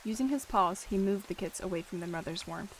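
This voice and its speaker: A woman's voice: medium pitch, brisk, slight background noise, rough recording. A woman hosting and narrating a roleplay story, casual with fillers when speaking to viewers.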